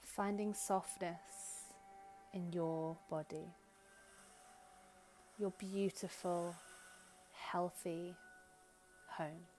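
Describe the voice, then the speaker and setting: A woman leading a guided meditation in gentle, soothing, unhurried short phrases with long pauses. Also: lowish voice, fairly even intonation, poor audio. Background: background music.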